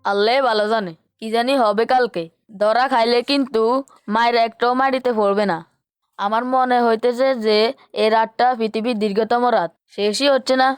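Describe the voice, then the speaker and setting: A woman's voice: fairly high pitch, fairly even intonation, normal speed, clear, resonant tone, dry recording, natural voice. A woman voicing an earnest, hesitant young boy in a children's cartoon dub. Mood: worried. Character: hesitant young boy, worried about getting caught.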